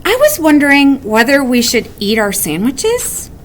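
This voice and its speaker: A woman's voice: high-pitched, sing-song, measured pace, slight background noise, voice pushed up. A woman voicing an eager, brave female wombat from a storybook, with dramatic delivery. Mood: wondering.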